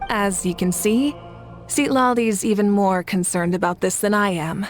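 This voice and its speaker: An actress playing a calm, assured, kindly woman leader in an anime game, composed and reassuring. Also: medium pitch, normal speed, soft background music, lightly acted.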